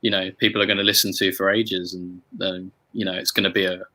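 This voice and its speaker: A man in a casual chat about making music, talking haltingly and often repeating words as he goes. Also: sits low, flat delivery, sharp tone. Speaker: musician and song arranger hosting a group chat.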